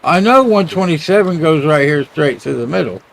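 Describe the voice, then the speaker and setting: A man's voice: on the high side, expressive, normal speed, vocal fry. A man chatting casually and informally on a live stream, with colloquial phrasing.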